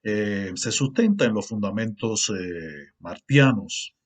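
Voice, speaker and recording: A middle-aged man with a Cuban Spanish accent, a university lecturer giving a formal conference talk in a measured, earnest way with pauses and fillers. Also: on the low side, expressive. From Cuba.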